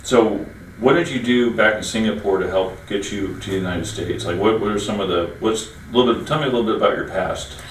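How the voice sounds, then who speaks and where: Host: man, low voice, friendly and rambling, roomy far-off sound. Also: a little fast, few pauses, a little hiss or hum. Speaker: interview host. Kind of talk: local business interview show.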